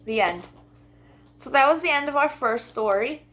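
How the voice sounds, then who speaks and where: A woman hosting a children's storytime, reading and talking directly to young listeners in an expressive way. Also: high voice, moderate pace, low-quality recording. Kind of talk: storybook read-aloud and talk to young children.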